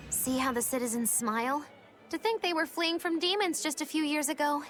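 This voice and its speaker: A woman's voice: high voice, brisk. A woman voicing a mischievous, bossy young magician troupe leader in a video game, with pompous, florid showmanship. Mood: teasing. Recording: loud music behind the voice.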